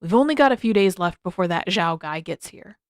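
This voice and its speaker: A woman's voice: on the low side, expressive, a little fast, no echo, clean studio sound, natural voice. A woman voicing a blunt, irritable, sarcastic teenage boy in an audiobook-style story, speaking casually and emphatically. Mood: grudging, annoyed.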